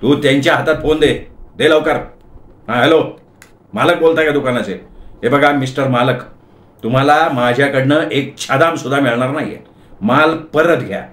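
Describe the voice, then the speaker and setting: A man's voice: mid-range voice, normal speed, fairly bright tone, natural voice. Man acting an irritable, barking boss, echoey. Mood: blustering. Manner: cranky, imperious, barking.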